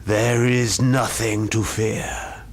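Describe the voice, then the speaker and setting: A man's voice: on the low side, little pitch movement, very slow. A man voicing a ghostly samurai messenger in a storybook tale, formal and commanding. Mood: formal, reassuring. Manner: loud, formal, commanding calls. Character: ghostly samurai retainer, commanding, menacing deep-voiced stranger.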